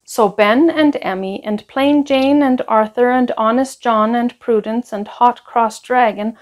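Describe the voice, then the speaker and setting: A woman reading a children's picture book aloud in an expressive storytelling style. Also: fairly high pitch, measured pace. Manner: expressive, acts out dialogue.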